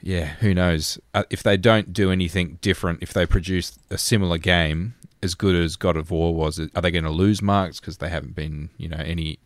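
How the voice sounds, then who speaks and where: Guy on a games podcast, really deep voice, no room echo, thoughtful casual chat. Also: fairly even intonation, normal speed, few pauses. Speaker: podcast co-host. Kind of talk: casual gaming discussion.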